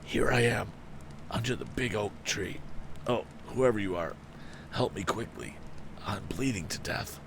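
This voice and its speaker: A man voicing a wounded hunter in a storybook, weak and strained, pleading haltingly for help. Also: fairly low pitch, animated, creaky, background noise, pitched above the performer's own voice. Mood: pained, pleading.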